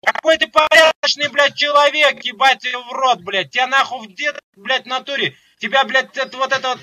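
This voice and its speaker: A man's voice: very high-pitched, steady tone, brisk, bright, forceful tone. A man mocking and taunting an old player in a voice chat argument.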